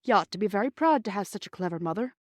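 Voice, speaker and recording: A woman's voice: medium pitch, lively intonation, very fast, no room sound, studio quality, lightly acted. A woman voicing a kindly, easygoing male railway station master in a storybook, with a hearty, avuncular manner.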